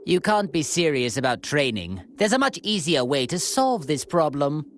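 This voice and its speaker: A man's voice: fairly high pitch, big pitch swings, normal speed, slight background noise. A man voicing a cruel, refined alien tyrant in a video game, with haughty, sneering, formal speech. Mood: scheming, commanding. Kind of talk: theatrical video game voice acting. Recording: loud music behind the voice.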